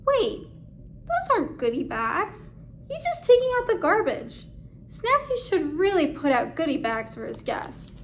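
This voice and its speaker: Lady doing a meddling fussy narrator from a kids book, really high and pompous, theatrical, bit of noise behind. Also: wildly swooping pitch, measured pace, exaggerated voice. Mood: indignant. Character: meddling, self-important storybook narrator, fussy and excitable. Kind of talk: children's picture book read-aloud.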